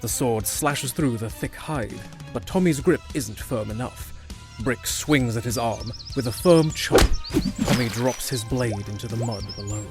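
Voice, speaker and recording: A man's voice: mid-range voice, a little slow. A man narrating a scripted fantasy audio drama, with music underneath.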